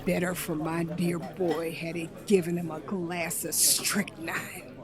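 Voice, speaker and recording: A woman performing a grieving alcoholic father in a radio drama, halting and mournful. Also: fairly low pitch, level tone, crowd noise, voice pushed down.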